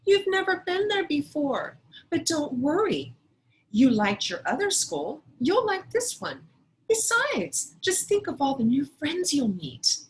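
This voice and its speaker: A woman voicing a patient but firm, coaxing father in an expressive storybook read-aloud. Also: high voice, unhurried, pitched above the performer's own voice, rough recording. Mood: cheerful reassurance.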